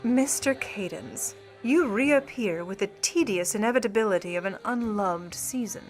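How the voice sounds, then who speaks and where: A woman's voice: medium pitch, moderate pace, soft background music, lightly acted. Woman playing an arch, sly Hollywood starlet. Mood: arch disdain. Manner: arch, droll, witty. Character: sly, haughty female Hollywood starlet and secret burglar. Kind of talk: 1950s-style radio play acting.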